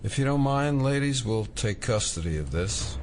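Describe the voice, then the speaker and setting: An actor playing a wry, laid-back FBI agent in an audio drama, with a dry deadpan manner. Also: male voice, mid-range voice, moderate pace, close to an everyday voice. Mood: polite. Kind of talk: naturalistic audio drama acting. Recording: faint background noise.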